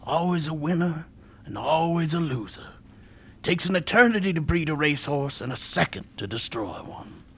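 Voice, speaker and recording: A man playing a weary old male jockey who talks slowly and drops into hushed whispers. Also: a little high, expressive, husky, full character voice. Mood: tender, wise. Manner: slow, dropping to hushed whispers.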